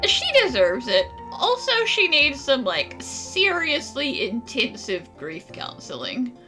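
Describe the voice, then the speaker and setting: A woman's voice: high voice, wildly swooping pitch, slow pace, pushed and bright. A woman giving casual, blunt and wry commentary as she plays a video game. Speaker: gaming channel player commenting. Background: game music.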